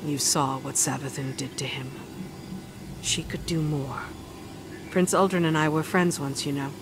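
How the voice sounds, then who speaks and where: A woman playing a solemn, loyal royal advisor in a fantasy video game, measured and wistful. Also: fairly even intonation. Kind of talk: video game dialogue acting. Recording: clear ambient rumble behind the voice.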